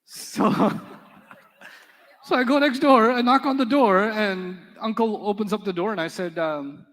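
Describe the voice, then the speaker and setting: A man whose first language is Urdu, teaching an Arabic class in a relaxed, joking manner and quizzing his students. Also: high voice, a little slow, long reverb tail. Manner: playful, joking, quizzes students in call-and-response. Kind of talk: live classroom lecture with storytelling.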